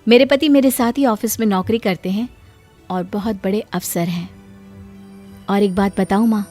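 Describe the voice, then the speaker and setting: A woman's voice: medium pitch, normal speed, mellow, soft background music, lightly acted. A woman playing a hurt but self-respecting young daughter in a moral story, earnest, polite but firm. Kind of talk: moral story cartoon dialogue.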